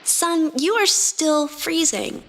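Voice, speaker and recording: A woman's voice: very high voice, slow, fairly bright tone, pitched above the performer's own voice, exaggerated voice, rough recording. A woman voicing a caring, bossy young girl in an audiobook-style story, exclaiming and instructing with urgency.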